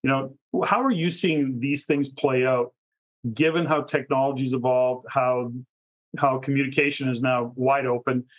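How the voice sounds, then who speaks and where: A man's voice: mid-range voice, fairly even intonation, normal speed. A man hosting a conversational interview podcast, asking long, rambling, discursive questions. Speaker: podcast host interviewing a guest. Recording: dull, lo-fi audio.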